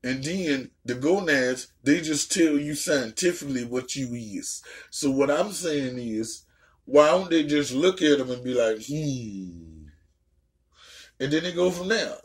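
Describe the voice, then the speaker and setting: A man's voice: medium pitch, lively intonation, measured pace. A man chatting casually as a reaction host, blunt, slangy and profane, often repeating words for emphasis. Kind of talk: casual chat reacting to a story.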